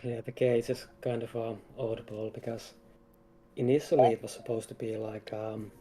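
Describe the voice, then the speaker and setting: A man chatting casually as a guest game developer, explaining things in a halting, hesitant way. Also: medium pitch, flat delivery, mellow, slight background noise. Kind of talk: casual chat.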